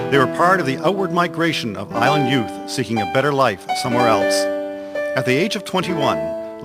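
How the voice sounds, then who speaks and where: A man narrating a scripted historical radio drama in a formal, measured storytelling manner. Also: mid-range voice. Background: prominent plucked guitar music.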